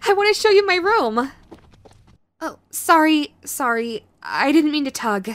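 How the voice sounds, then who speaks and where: Woman acting a high-voiced, clingy yandere girlfriend. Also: very animated, no room sound. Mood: shy, eager. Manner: halting, gushing, apologetic, swinging between eager and sheepish. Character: sweet, clingy yandere girlfriend, nervous, trying hard to reform. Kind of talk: romantic audio roleplay, acted to the listener.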